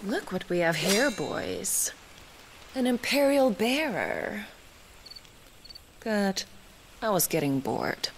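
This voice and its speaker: A haughty noblewoman commander, in dramatic video game style. She is imperious and sardonic, taunting in a bored, cruel way. Her mid-pitched voice moves widely, with clear noise behind it. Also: big pitch swings, slow pace, pauses often. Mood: menacing, mocking.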